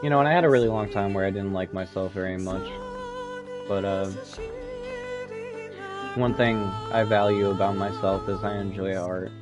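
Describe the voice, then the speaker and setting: A man with a lowish voice chats to his stream over clearly audible music. He speaks earnestly and sentimentally, in the casual, reflective way of a gamer sharing with viewers. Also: normal speed, long pauses. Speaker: video game streamer. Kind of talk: casual livestream commentary. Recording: loud music behind the voice. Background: game credits music.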